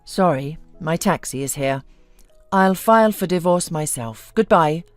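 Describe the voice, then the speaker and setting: A woman's voice: lowish voice, lively intonation, a little slow, no echo, soft background music. Casting: woman narrator as humiliated meek husband in audio story, trembling and pleading, dry recording. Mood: resigned. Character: timid, resentful, long-humiliated husband, adult man.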